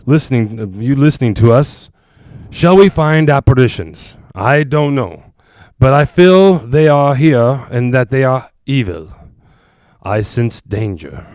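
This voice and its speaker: Man acting solemn, clear-voiced ghost-hunting doctor. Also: medium pitch, expressive, a little mellow, dry recording. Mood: grave. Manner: formal, measured, halting, grave. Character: solemn, earnest male doctor and psychic ghost hunter. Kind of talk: 1940s radio drama acting.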